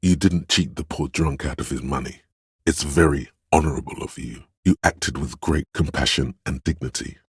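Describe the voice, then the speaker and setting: An extremely deep, imperious male voice of authority for a video game. He speaks in stern, pompous pronouncements with declamatory weight. The tone is slightly husky and rough, recorded very dry. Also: no echo, exaggerated voice. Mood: solemn approval. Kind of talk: scripted video game narration and voice acting.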